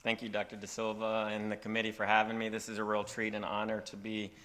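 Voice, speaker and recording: Us guy lecturing at a medical conference, bright forceful voice, kinda folksy, lots of uhs. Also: on the low side, fast talker. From Virginia, southeastern United States. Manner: relaxed, folksy, frequent "uh" fillers. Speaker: conference speaker.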